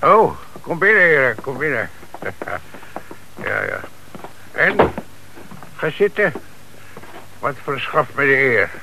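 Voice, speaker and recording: A man's voice: medium pitch, big pitch swings, slow, vocal fry, pauses often, exaggerated voice. A man acting in a radio play as a sly, mocking car dealer and small-time crook, chuckling, falsely polite and evasive. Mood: mock jovial. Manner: feigned politeness, jovial evasiveness. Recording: hissy old radio play recording.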